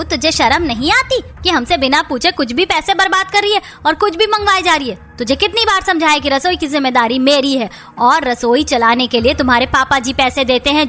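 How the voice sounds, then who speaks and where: A woman's voice: high-pitched, brisk, hardly any pauses, sharp tone. A woman voicing a stingy, domineering, middle-aged mother-in-law in a cartoon story, taunting and haranguing. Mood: angry, accusing.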